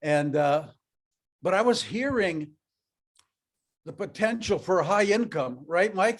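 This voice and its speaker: Older man telling his own story. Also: a little high. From Europe, raised in North America. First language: Ukrainian. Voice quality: conversational, storytelling, frequent fillers. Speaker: network marketing speaker. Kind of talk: personal story told to an audience.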